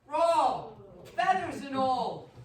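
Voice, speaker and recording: Working-class asylum attendant played by a woman: colloquial, superstitious, animated radio play delivery, distant mic in a reverberant room. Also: sits high, drawn-out words, exaggerated voice. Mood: relishing, spooked. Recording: very echoey room, distant mic, faint noise.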